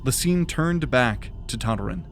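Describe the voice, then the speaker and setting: Man narrating a dark fantasy drama. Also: mid-range voice, animated, faint music behind. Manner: measured, dramatic reading.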